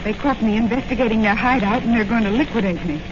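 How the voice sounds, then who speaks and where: On a muffled, noisy vintage recording, a woman plays a young captive heiress. Her voice is high, plucky and defiant, in the earnest style of a 1940s radio adventure serial. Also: fast talker, natural voice. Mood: anxious, curious.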